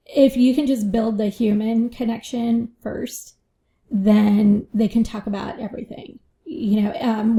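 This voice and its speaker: A woman's voice: on the high side, gravelly, mellow. A woman financial advisor answering interview questions in a reflective way, often adding "you know" and "right?".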